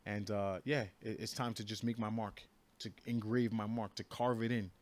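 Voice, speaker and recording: A man in his thirties from New York speaks in a fairly low voice, sounding sure of himself. He trips over his words and repeats them often. The talk is loose and chatty, a fighter giving an interview. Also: fairly even intonation, moderate pace, no echo. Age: 30s. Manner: repetitive, stammering restarts, brash and confident. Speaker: professional fighter. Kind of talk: casual interview.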